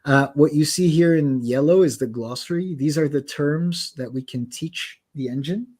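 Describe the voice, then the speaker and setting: Chatty man demoing software. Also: on the high side, normal speed. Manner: chatty, self-deprecating, many restarts. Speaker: host demoing translation software. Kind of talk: casual live demo talk.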